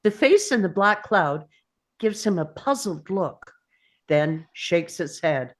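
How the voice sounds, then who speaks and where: A woman serves as narrator, reading scene descriptions from a script. She takes her time, with a slightly low pitch. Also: expressive, slow. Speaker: narrator reading stage directions.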